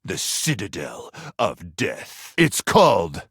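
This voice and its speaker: A man voicing a gruff, war-weary sci-fi soldier in a video game, melodramatic and intense, with deadpan absurd asides. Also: low voice, takes it slowly, dry recording, clean studio sound, heavily acted. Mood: grave briefing. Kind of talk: video game character acting.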